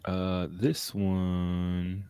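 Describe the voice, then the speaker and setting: A man hosting a casual film podcast, rambling and relaxed, often laughing between thoughts. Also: deep voice, extremely slow, smooth, no echo, lo-fi sound. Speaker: podcast host.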